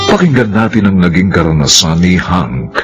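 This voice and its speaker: A man's voice: deep voice, big pitch swings, moderate pace, lo-fi sound, over music. A man with a Filipino accent hosting and narrating a radio drama in a solemn, theatrical scripted style.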